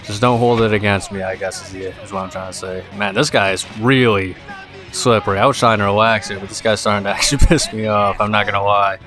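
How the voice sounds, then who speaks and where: A young man giving casual gameplay commentary, tired and rambling in a monotone voice. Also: lowish voice, fast talker. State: exhausted. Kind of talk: casual let's play commentary. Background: game music.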